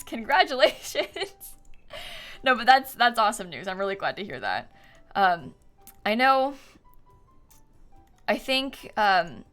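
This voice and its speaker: Lively young woman streamer, chatty and informal. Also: medium pitch. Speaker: live game streamer. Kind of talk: casual live-stream chat with viewers. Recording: music playing behind. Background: music, typing.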